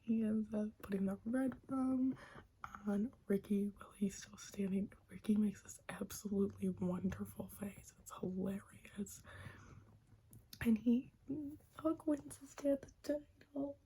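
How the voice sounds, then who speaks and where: A woman's voice: mid-range voice, unhurried, slight background noise, poor audio. A young woman whispering a chatty recap of a wrestling show, gushing like a fan and giggling often. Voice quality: soft whisper, frequent giggles.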